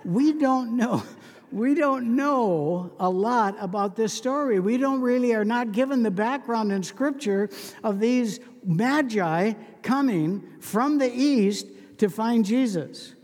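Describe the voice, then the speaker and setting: A man's voice: very high voice, slow pace, darkish tone, in a large hall. A man preaching a sermon as pastor, telling stories in a folksy, conversational way.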